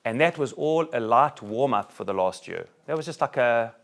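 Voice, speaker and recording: Gravelly, lively South African man. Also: mid-range voice, moderate pace. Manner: joking, animated, many restarts. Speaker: rabbi speaking to a group. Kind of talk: talk with audience Q&A. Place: room with a live audience.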